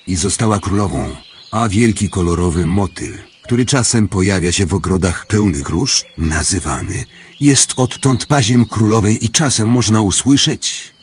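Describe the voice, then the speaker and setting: Storybook radio drama: tired master storyteller male actor, lowish register, measured delivery, some background noise. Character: tired male hour-spirit storyteller, master of a castle chamber. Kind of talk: storybook radio play narration.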